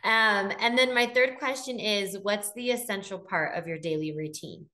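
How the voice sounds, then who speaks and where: A woman's voice: medium pitch, normal speed, pushed and bright. A woman in her thirties hosting a podcast, chatting encouragingly into a studio microphone at home. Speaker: podcast host. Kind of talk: casual interview. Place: home room indoors. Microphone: studio microphone on a boom arm.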